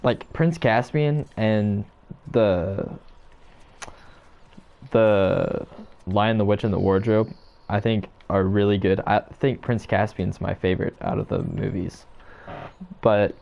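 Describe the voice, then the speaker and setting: A young man in casual chat as a podcast co-host, hesitant and halting, with many fillers. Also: lowish voice, vocal fry. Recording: dull lo-fi sound.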